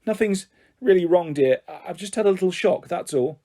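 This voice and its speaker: A man's voice: high-pitched, animated, fairly quick, dark tone. A man reading the part of a middle-aged, old-fashioned mother with an earnest, wavering way of talking. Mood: flustered.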